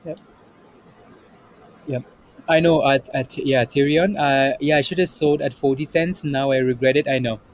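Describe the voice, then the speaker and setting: A man's voice: mid-range voice, steady tone, normal speed, pauses often, no room sound. A man hosting a casual trading livestream, upbeat and chatty, often saying yep and there you go. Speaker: livestream host.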